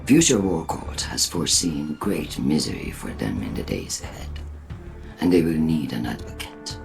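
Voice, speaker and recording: An actor playing a haughty, suspicious city leader in a game cutscene, curt, formal and disdainful. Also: male voice, very deep voice, vocal fry, exaggerated voice. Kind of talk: dramatic game cutscene acting.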